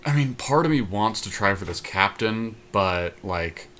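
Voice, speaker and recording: A man with a fairly low voice gives casual, unscripted game commentary as a podcast co-host. He talks conversationally, reasoning out loud, over some faint background noise. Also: animated, a little slow. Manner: thinking aloud, conversational.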